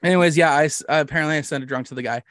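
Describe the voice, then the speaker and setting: A man's voice: a little high, racing, fairly bright tone, no echo, studio quality. A man with a Canadian accent hosting a podcast in casual chat, rambling, jokey and self-deprecating. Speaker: podcast host.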